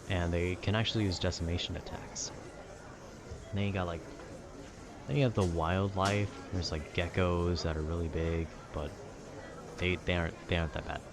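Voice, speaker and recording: A man's voice: sits low, noisy background. A man chatting casually in a laid-back, meandering, slangy way, as if rambling to a friend about video games. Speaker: audio roleplay creator.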